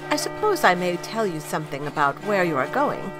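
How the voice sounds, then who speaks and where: An actress playing a stern, blunt, gossipy middle-aged housekeeper in an amateur audio drama. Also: female voice, lowish voice, sing-song, a little fast, over music. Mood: brisk. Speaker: actor.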